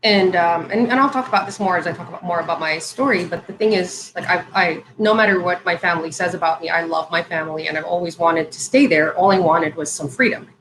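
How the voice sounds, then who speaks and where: A woman in her thirties from Saudi Arabia talks in a low voice, chatting as a guest on a livestream. She fills her speech with "um"s and restarts sentences as she goes. The room sounds echoey, with faint ambient noise behind her. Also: fast talker, few pauses. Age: 30s. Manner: conversational, many fillers and restarts. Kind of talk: casual livestream interview.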